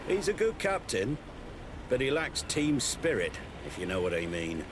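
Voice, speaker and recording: A man's voice: medium pitch, big pitch swings, normal speed, close to an everyday voice. Man doing sly, swooping-voiced gossipy sailor. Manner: colloquial, knowing, insinuating. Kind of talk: video game dialogue acting. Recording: noisy outdoor harbour ambience.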